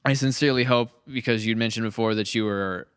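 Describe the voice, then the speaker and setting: A man's voice: lowish voice, fairly even intonation, quick pace, clean tone, no echo. A man hosting a podcast, rambling and joking with the cast, talking close to the mic. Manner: rambling, joking, trails off into restarts. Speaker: podcast host and series creator. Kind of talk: casual podcast chat.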